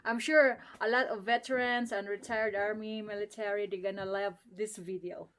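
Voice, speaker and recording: A woman's voice: medium pitch, fairly even intonation, normal speed, fairly bright tone. An older woman from the Philippines with a Filipino accent, chatting casually and laughing often as she films a museum tour. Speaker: vlogger touring a museum.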